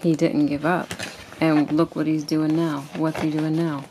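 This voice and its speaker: A woman reading a picture book aloud to a child at home and asking instructive questions in a deliberate way. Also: low voice, flat delivery, noisy background. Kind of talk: expressive read-aloud and gentle questioning.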